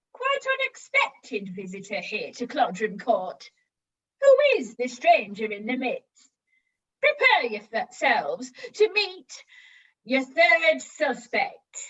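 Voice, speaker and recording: Actress as a dramatic mystery hostess, high lively voice, slow, distant and muffled. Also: female voice, full character voice. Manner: dramatic, grandly announcing. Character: theatrical mystery-party hostess. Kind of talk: stage comedy acting.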